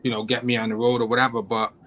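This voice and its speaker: A man's voice: mid-range voice, level tone, very fast, a bit bright, slight background noise. A man talking as a guest on a live drone show, rambling with many restarts and fillers. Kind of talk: casual chat on a live show. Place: home, cold region.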